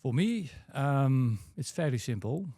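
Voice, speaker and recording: A middle-aged Dutch man with a Dutch accent, a podcast guest who chats in a relaxed way and often repeats words while he searches for them. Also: mid-range voice, measured pace, no echo. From Netherlands. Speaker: guest, head of product. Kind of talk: casual podcast conversation.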